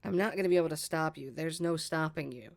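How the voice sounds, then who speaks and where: A woman's voice: deep voice, quick pace, gravelly. Woman acting as exasperated barmaid mom in a pirate script read, protective, strict, kinda scolding. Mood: reluctant, firm. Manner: scolding, emphatic.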